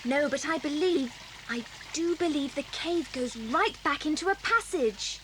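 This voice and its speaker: A woman's voice: high-pitched, expressive, measured pace. A woman playing a sensible, curious, observant child on an adventure, speaking earnestly in a children's audio drama. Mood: earnest, intrigued. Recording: reverberant cassette drama with faint noise.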